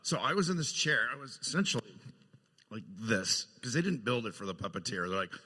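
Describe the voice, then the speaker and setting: A man's voice: mid-range voice, big pitch swings, brisk, pushed and bright, slightly rough. A man telling casual anecdotes as a guest on a panel about his film work. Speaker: guest puppeteer sharing film memories. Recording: echoey hall with long reverb.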